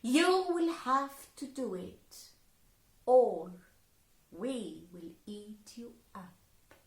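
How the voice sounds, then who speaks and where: A woman voicing bossy fairy captors who give harsh orders in a loud, scolding manner. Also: fairly high pitch, big pitch swings, drawn-out words, reverberant, exaggerated voice. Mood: threatening.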